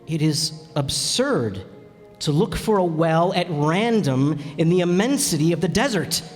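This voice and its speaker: A man playing an earnest, measured stranded pilot in a radio play. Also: fairly high pitch, lively intonation. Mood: concerned, weary. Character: stranded pilot, concerned, gentle adult narrator. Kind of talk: radio play acting and narration. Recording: echoey hall, music behind.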